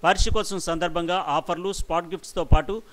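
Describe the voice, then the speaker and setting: A man's voice: high voice, fairly even intonation, fast talker, a bit bright. A man reading a scripted news report as a voice-over narrator.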